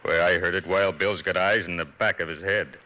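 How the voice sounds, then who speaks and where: Sly western outlaw boss, deep, hard bright edge, American Western drawl, calculating, radio drama. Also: male voice, steady tone, a little fast. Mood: scheming. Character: sly, scheming male western villain boss. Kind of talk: 1950s radio western acting.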